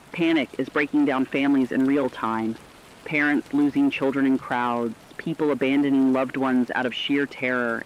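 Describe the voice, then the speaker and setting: A man plays a solemn radio co-anchor with a medium-pitched voice. His delivery is measured and eloquent, like scripted catastrophe news drama. The sound is slightly dull and band-limited. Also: close to an everyday voice.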